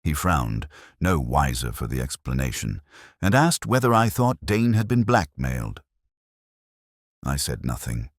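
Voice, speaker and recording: Very deep-voiced man narrating detective fiction. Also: very animated, no echo. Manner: measured, literary, melancholy delivery. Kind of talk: scripted first-person audiobook narration.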